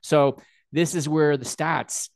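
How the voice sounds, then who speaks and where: A man's voice: on the high side, fairly even intonation, slow, creaky, no echo. A man co-hosting a local podcast, ranting in casual chat and laughing at his own points. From Montreal, Canada. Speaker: podcast co-host.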